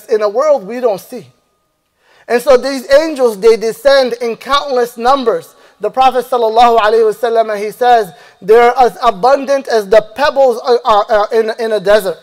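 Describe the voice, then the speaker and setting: A man in his thirties delivering a Friday sermon as imam in a mosque, speaking in a measured preaching manner into a stand microphone, with a long hall reverb on his voice. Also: very high-pitched. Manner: measured preaching, recites Arabic verses rapidly then translates slowly. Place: mosque prayer hall, indoors. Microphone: stand mic and lapel mic.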